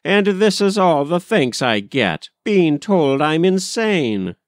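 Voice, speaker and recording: Man putting on a high, frantic governess woman. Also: very animated, takes it slowly, no room sound, studio quality. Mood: indignant. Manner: frantic, emphatic, aggrieved. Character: wild, agitated, indignant nursery governess woman. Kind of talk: storybook character voice.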